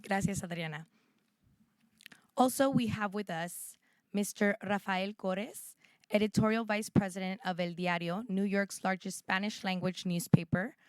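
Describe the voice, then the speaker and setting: A woman's voice: medium pitch, a little slow, no echo. A woman introducing speakers as the host of a public event.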